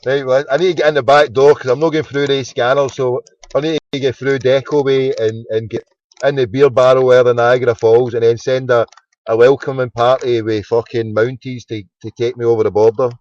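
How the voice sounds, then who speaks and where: A man's voice: mid-range voice, level tone, brisk, a little mellow, dull audio. A Scottish man hosting a casual phone-in, chatty and sweary, often repeating his words. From Scotland. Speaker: phone-in host.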